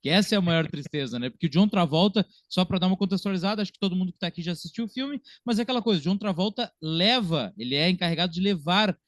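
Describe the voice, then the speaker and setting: A high-pitched male voice with a Brazilian accent, recorded dry and close. He discusses films in a colloquial, emphatic way, as a co-host would in a relaxed podcast chat. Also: racing, hardly any pauses, no room sound. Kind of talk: casual film discussion.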